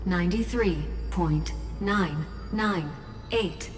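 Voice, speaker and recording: A woman voicing an impassive machine system in a video game, announcing in a flat, measured, mechanical way. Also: lowish voice. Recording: echoey long reverb over music.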